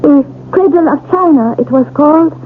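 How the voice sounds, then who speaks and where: A tinny old radio broadcast carries an actress as an entranced wife in a mystery drama. Her voice sits high, with music behind it. She speaks solemnly and haltingly, like a prophet of doom. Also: close to an everyday voice. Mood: trance-like, foreboding. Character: troubled wife in a trance, bleakly foretelling doom. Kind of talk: 1970s radio mystery acting.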